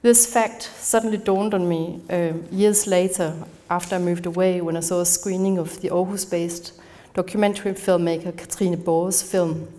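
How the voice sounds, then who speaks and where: A woman from Denmark with a Danish accent, giving an academic conference talk in a measured manner, partly reading from a prepared text. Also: fairly low pitch, steady tone, mellow. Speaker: conference speaker. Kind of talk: academic lecture. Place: large darkened lecture hall.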